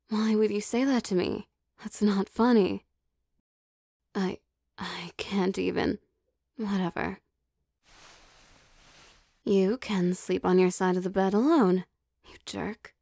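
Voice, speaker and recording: Woman playing a soft, creaky-voiced vampire girlfriend. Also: medium pitch, fairly even intonation, no room sound, studio quality. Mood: hurt, annoyed. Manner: breathy coaxing, playful, hesitant pauses. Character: affectionate, possessive, teasing young vampire girlfriend. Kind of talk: ASMR girlfriend roleplay, intimate and soft.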